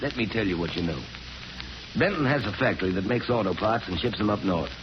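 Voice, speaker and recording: An actor plays a grave, earnest priest in a vintage radio drama. His low voice is direct and insistent, behind heavy broadcast noise. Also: animated, a little fast, natural voice. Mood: firm, insistent. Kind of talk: 1940s radio drama acting. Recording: muffled, very noisy 1940s radio broadcast.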